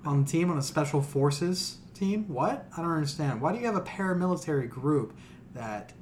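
A man's voice: fairly high pitch, lively intonation, normal speed, darkish tone, a little hiss or hum. Exasperated, sweary man in casual discussion. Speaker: podcast co-host.

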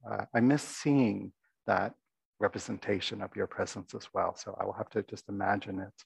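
A middle-aged man, an abbot, giving a soft-voiced, reflective dharma talk in a meditation hall, pausing often with fillers. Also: lowish voice, vocal fry, breathy edge. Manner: reflective, halting, many fillers.

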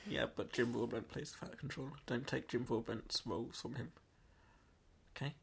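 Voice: medium pitch, fairly even intonation, normal speed, creaky, slight background noise. A person playing the straight role in comic banter, teasing and often puzzled.

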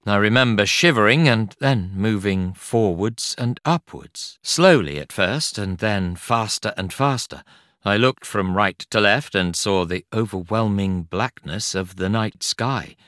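A man reading a non-fiction audiobook in a measured, articulate and expressive way. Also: lowish voice, no room sound, clean studio sound. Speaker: audiobook narrator. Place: studio.

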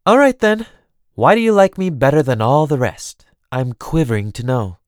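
A man's voice: mid-range voice, lively intonation, normal speed, no echo, studio quality, pitched above the performer's own voice, lightly acted. A man voicing a languid, teasing, self-dramatizing young noblewoman with a drawling, theatrical delivery in an audiobook. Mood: playfully dramatic. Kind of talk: audiobook character dialogue.

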